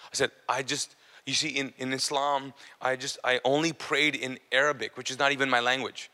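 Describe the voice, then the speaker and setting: A man's voice: mid-range voice, little pitch movement, normal speed, pushed and bright. A man whose first language is Urdu tells a personal testimony to an audience in an informal, comic way, acting out dialogue and repeating phrases for emphasis. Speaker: speaker giving testimony to an audience. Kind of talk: animated storytelling sermon, acting out dialogue. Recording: long hall reverb, live stage.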